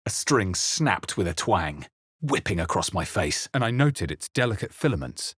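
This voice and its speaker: A man voicing a wary, brooding adventurer hero in a fantasy video game, narrating in the first person with dramatic, measured intensity. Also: low voice, very animated, a bit bright, slightly rough, dry recording, clean studio sound, heavily acted. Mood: grave, wary. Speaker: video game player character voice.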